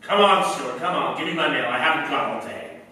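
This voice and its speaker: A man's voice: medium pitch, big pitch swings, fairly quick, distant mic, close to an everyday voice. A man playing an ornery, brusque neighbor in a 1940s style radio drama. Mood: impatient, barking. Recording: echoey stage from afar, faint noise.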